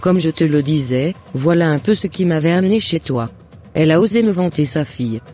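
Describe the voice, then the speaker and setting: A man voicing a jealous, scheming mother and neighbour in an animated moral drama, with deliberate scripted phrasing. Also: on the high side. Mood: aggrieved, plotting. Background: faint music.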